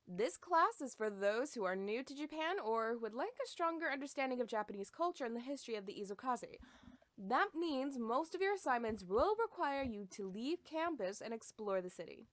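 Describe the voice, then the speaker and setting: A woman playing a welcoming, orderly professor in a game, formally addressing her class with clear announcements. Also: on the high side, sing-song, normal speed, no echo. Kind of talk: visual novel game voice acting.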